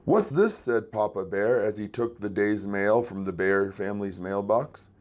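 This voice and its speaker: A man reading a children's story aloud in an expressive storytelling style. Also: lowish voice, moderate pace. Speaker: children's book reader.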